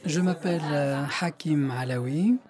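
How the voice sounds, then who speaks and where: A woman's voice: low voice, measured pace, warm tone. A woman Arabic teacher talking reflectively about her experience and saying single words. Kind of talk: reflective personal account and single-word recitation.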